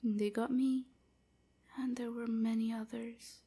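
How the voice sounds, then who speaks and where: A sorrowful young vampire slave played by a woman confides in a soft, breathy near-whisper. The delivery is very slow and halting, with a dark, gentle tone and a slight crackle in the voice, suited to an intimate audio roleplay. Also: fairly high pitch, level tone, full character voice. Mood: somber, halting. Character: sorrowful young enslaved vampire woman recounting her past.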